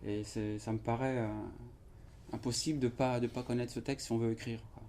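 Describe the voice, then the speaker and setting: A man, an author, talking casually about his writing in an interview at a bookshop event, relaxed and halting, often pausing with fillers. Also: mid-range voice, slight background noise. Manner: relaxed, halting, fills with "voilà" and "ouais". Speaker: author at his book launch. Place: bookshop event before an audience.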